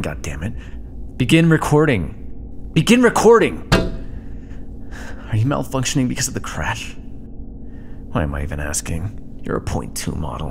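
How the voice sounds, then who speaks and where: Man acting a sardonic, gravelly stranded starship officer. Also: fairly low pitch. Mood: exasperated. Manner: wry, exasperated, muttering asides. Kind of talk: audio roleplay, dictated log monologue. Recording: clear background noise under the voice.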